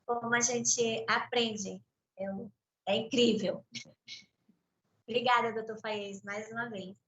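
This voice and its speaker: A woman's voice: a little high, steady tone, takes it slowly, lo-fi sound. A woman hosting a virtual panel, introducing a guest and giving a spoken audio description of her on a video call. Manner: warm, describes the guest aloud for blind viewers. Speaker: event host.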